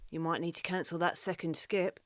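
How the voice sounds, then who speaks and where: A woman's voice: on the low side, brisk, lightly acted. An actress playing an inquisitive, plain-spoken British woman exploring, in a naturalistic radio play. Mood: curious. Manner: inquisitive, expressive. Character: curious, plain-spoken British woman inspecting a cluttered house.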